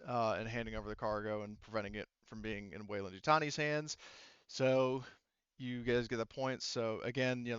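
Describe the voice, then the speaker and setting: Smooth-voiced man explaining game rules. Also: mid-range voice, fairly bright tone, no echo, lo-fi sound. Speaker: game master.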